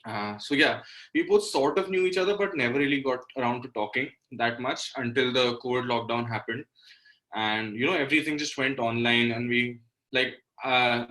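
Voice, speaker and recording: A man's voice: medium pitch, pushed and bright, rough recording. A man in his twenties with an Indian accent, giving casual, conversational answers as a musician being interviewed. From Delhi, India. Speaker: interview guest, singer-songwriter.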